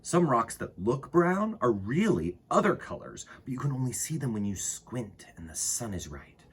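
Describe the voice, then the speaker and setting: A man with a husky voice reading aloud to children as a storytime host, expressively, with dramatic emphasis and pauses. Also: medium pitch, very animated. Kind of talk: animated picture-book read-aloud for children.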